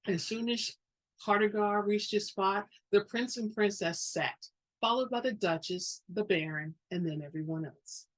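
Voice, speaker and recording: Husky woman reading fiction aloud. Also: lowish voice, poor audio. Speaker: audiobook-style reader. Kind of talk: dramatic reading, scripted fiction narration.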